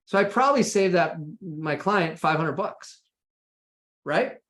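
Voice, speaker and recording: A man's voice: sits high, expressive, measured pace, fairly bright tone. Echoey American man teaching informally. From United States. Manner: conversational, checks in with "right?" and "okay?". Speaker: session presenter. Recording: echoey room sound.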